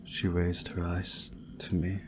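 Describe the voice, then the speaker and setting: A man reading a visual novel story aloud in a measured, dramatic storytelling way, hushed at times. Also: low-pitched, completely flat delivery, noisy background. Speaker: video game playthrough narrator.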